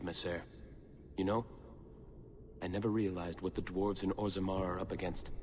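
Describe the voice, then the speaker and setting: An actor playing an earnest, awed young merchant's son in a fantasy video game, speaking sincerely. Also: male voice, low-pitched, close to an everyday voice. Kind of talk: video game cutscene acting. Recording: noisy ambience behind the voice.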